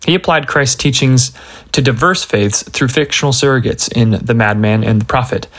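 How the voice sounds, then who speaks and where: A man narrating a magazine article as scripted narration, reading deliberately in short, measured phrases. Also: mid-range voice, fairly bright tone.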